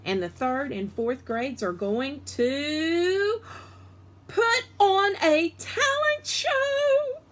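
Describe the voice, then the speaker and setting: A woman reading a children's story aloud in an animated, expressive way, with emphasis on the dialogue. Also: very high voice, very slow.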